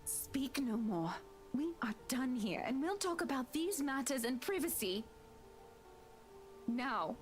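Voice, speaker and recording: Haughty wronged young wife, very high voice, slow deliberate delivery, game music behind. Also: female voice, big pitch swings, exaggerated voice. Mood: defiant anger. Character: proud, wounded, wealthy young wife standing her ground. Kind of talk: dramatic visual novel voice acting.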